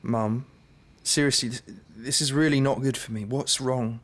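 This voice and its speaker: A man's voice: medium pitch, fairly even intonation, normal speed, fairly bright tone, natural voice. An actor playing a young man with schizophrenia, a nervous, stammering musician, in a radio drama. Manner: stammering, hesitant restarts.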